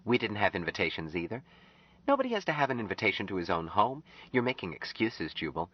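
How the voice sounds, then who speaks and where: A man reading an audiobook, voicing an earnest young woman who gently insists and reassures. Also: fairly low pitch, sing-song, quick pace, slightly rough, dry recording. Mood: pleading. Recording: slightly dull lo-fi audio.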